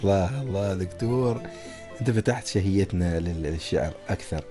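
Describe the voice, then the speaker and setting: A man's voice: sits low, brisk, over music. A male host, prompting and praising his guest in a broadcast interview. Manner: gravelly, praising and lively when prompting.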